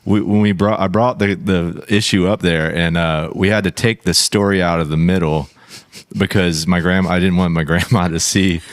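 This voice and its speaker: This man has a low voice and tells his stories loosely as a podcast guest. He keeps stopping to restart, and fillers pile up as he talks. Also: fairly even intonation, moderate pace. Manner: rambling, many fillers and restarts. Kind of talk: casual chat.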